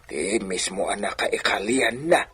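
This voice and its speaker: An actor playing a deferential, scheming old man in a radio drama, with a raspy, coaxing delivery. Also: fairly low pitch, normal speed, a bit bright. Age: older.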